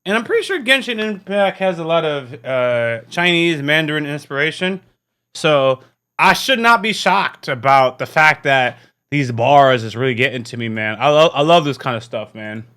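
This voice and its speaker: A man's voice: on the high side, expressive, moderate pace, sharp tone, clean tone. A man hosting a reaction video, commenting casually in a slangy, musing way. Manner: slangy, musing, repeats lines he likes.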